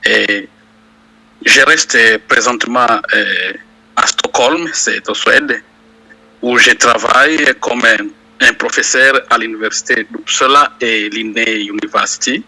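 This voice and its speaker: Slow, bright-voiced man. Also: medium pitch, breathy edge, low-quality recording. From DR Congo, South Kivu. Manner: slow, hesitant, many fillers. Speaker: interview guest, university lecturer. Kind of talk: interview answers.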